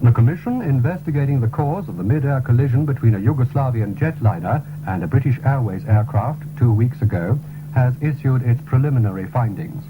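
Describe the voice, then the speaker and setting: A man reading a formal, scripted radio news bulletin in a measured way, on a 1970s broadcast. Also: mid-range voice, lively intonation, music in the background. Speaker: radio newsreader. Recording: tinny, narrow-band 1970s radio broadcast recording.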